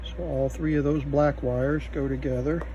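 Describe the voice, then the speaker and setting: A man giving unscripted hands-on repair commentary, halting and thinking aloud as he works. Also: on the high side, steady tone, mellow, lo-fi sound. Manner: halting, muttering thoughts aloud while working. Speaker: vintage electronics repair video host. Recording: faint background noise.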